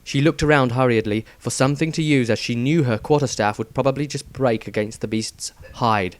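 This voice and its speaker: A man's voice: medium pitch, brisk. A man reading scripted fantasy adventure narration as a dramatic storyteller.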